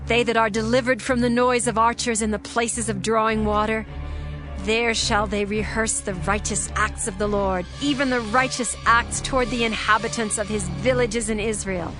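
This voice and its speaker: Solemn Israelite proclaimer played by a woman, higher register, declamatory scripture delivery, soft music. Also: steady tone, normal speed, close to an everyday voice. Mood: proclaiming. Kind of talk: dramatized Bible reading.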